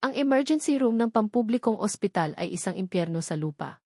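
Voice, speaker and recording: A woman's voice: medium pitch, expressive, brisk, a little mellow, no echo, clean studio sound. A woman narrating a scripted love story in a dramatic storytelling style. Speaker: narrator of audio love stories.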